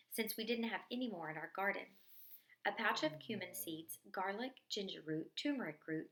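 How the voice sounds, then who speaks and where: A woman reading a diary-style story aloud in an expressive narrating style, acting out the dialogue. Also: medium pitch. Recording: echoey room.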